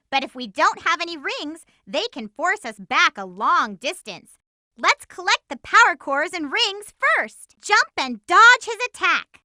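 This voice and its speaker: A woman's voice: very high-pitched, bright, forceful tone, no echo, clean studio sound, full character voice. A woman voicing a plucky, bossy young female cartoon hedgehog in a video game, with a peppy, emphatic, girlish delivery. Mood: feisty, defiant. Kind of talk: animated game voice lines.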